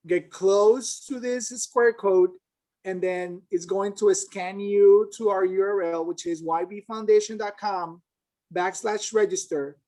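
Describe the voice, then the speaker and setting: A woman hosting an event, making announcements and introducing a guest in a halting, pausing way. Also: mid-range voice, darkish tone. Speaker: event host.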